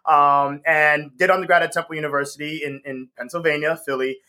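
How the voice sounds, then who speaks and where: A man's voice: fairly high pitch, steady tone, brisk, pushed and bright. A man from the US Northeast, a company co-founder, chatting enthusiastically as an interview guest with frequent laughs and ums. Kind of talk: casual interview chat.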